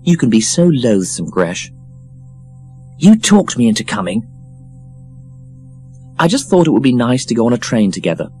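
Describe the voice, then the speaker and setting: A man voicing an earnest, hopeful, gently indignant young woman in an audiobook. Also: medium pitch, sing-song, normal speed, pauses often, husky, faint music behind, pitched above the performer's own voice. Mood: reproachful. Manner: earnest, protesting. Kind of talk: audiobook character voice.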